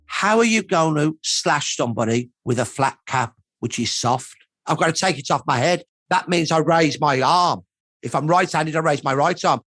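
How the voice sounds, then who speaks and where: A man's voice: a little high, fairly bright tone, dry recording. An older man with a Birmingham accent, explaining history emphatically as an expert in a documentary interview. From Birmingham, England. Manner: emphatic, storytelling, repeats points for weight. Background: background music.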